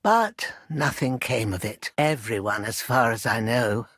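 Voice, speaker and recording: A woman's voice: on the low side, big pitch swings, measured pace, no echo, clean studio sound. An actress playing a worried old village herbwoman in a video game, protective and proud, with a plain-spoken rustic manner. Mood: somber, wary. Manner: rustic, plain-spoken, folksy turns of phrase. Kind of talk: scripted video game character dialogue.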